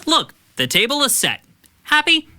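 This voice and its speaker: A sharp, surly teenager. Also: female voice, high voice, wildly swooping pitch, moderate pace, exaggerated voice. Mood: defiant, irritated. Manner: snappy, whiny, sarcastic. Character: surly, sarcastic teenage child resisting chores. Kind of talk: naturalistic audio drama dialogue.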